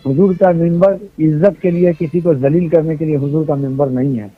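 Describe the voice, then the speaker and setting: Husky man on a tinny phone line. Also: fairly high pitch, fairly even intonation, a little fast. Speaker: phone interviewee giving his account. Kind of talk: explanatory phone conversation. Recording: muffled, tinny recorded phone call.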